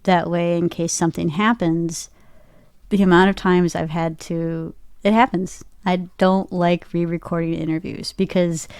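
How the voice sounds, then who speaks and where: A woman's voice: on the low side, flat delivery, unhurried, a little mellow, smooth, no echo, clean studio sound. A woman chatting casually as an interview guest, speaking into a podcast microphone. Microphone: dynamic podcast mic in a production room.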